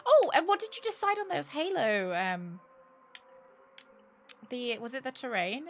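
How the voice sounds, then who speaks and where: A woman's voice: high voice, lively intonation, unhurried. A British woman livestreaming a game, chatting casually and jokily with sarcastic asides and some swearing. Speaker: game streamer. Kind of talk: casual livestream chat while playing a game.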